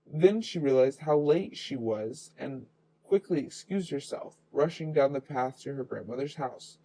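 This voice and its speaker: Mellow man reading a children's story. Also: mid-range voice, lively intonation, a little slow, low-quality recording.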